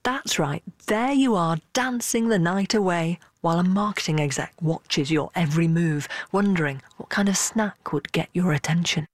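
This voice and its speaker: A woman presenting a radio programme, narrating and interviewing in a crisp, playful way. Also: lowish voice, moderate pace, few pauses, dry recording, studio quality.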